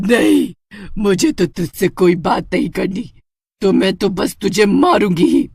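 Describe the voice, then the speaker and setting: Woman acting a taunting, vengeful witch, widely swinging pitch. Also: vocal fry, slightly rough, dry recording, studio quality, heavily acted. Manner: menacing, taunting, cackling. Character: vengeful gloating female witch who beats men with a belt. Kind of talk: Hindi horror cartoon story acting.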